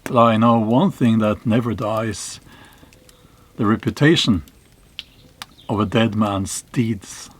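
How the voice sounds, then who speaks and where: Slow-spoken man with a Norwegian accent. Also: lowish voice. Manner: slow and deliberate, with pauses and fillers. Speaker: channel host, historical novelist. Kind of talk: reflective monologue to camera. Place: small cave in a forest.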